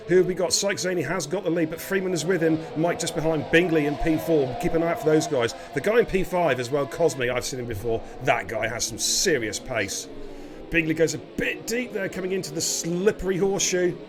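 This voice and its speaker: Man, slightly higher voice, croaky, chatty race commentator, stumbles over words. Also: brisk, over music. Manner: chatty, laughs easily, stumbles and repeats words. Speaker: lead sim racing commentator. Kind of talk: live esports race commentary.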